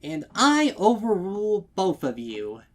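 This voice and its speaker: A man's voice: high-pitched, wildly swooping pitch, unhurried, pitched above the performer's own voice, full character voice. A man voicing an eager young female cartoon pony baker, bubbly and over-eager to please, in a storybook reading. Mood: insistently cheerful.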